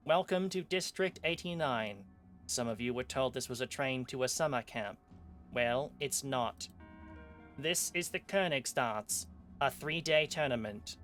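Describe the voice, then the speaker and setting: A man voicing a loud, serious tournament announcer in a visual novel, speaking slowly and deliberately. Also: lightly acted. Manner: booming, slow and deliberate.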